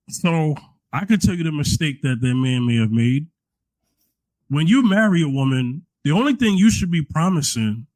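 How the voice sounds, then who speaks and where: A man's voice: on the high side, darkish tone, dry recording, low-quality recording. A man co-hosting a podcast, giving advice conversationally and emphatically, repeating phrases to build his point. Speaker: podcast co-host.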